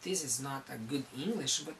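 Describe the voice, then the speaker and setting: A counselor from Brazil speaking with a Brazilian accent, giving a recorded talk on psychology in an earnest, halting way and often restarting his phrases. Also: mid-range voice, expressive, fairly bright tone, a little hiss or hum, low-quality recording.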